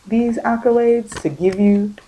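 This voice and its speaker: A woman giving a soft ASMR tarot reading, speaking in a halting, repetitive, reassuring way as she offers spiritual guidance. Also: fairly high pitch, fairly even intonation, slight background noise, rough recording. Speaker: tarot reader.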